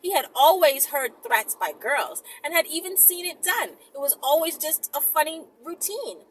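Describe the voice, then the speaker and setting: A woman reading a story aloud in an expressive, informal, giggly manner with chatty asides. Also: high-pitched, normal speed, slight background noise, rough recording.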